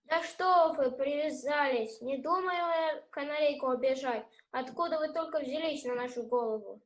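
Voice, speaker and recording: A woman's voice: high voice, normal speed, close to an everyday voice. A woman playing an irritable, sarcastic pet owner in an amateur radio play, with a curt, dismissive manner. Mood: exasperated. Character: irritable, sarcastic canary owner pestered by children.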